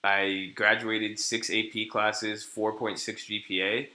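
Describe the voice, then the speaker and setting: A man's voice: fairly low pitch, little pitch movement, slow pace, pushed and bright. A young man from California chatting casually on a live trading stream, rambling, cursing and restarting his sentences. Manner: rambling, crude slang, frequent restarts. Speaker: day trader streaming live. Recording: echoey room.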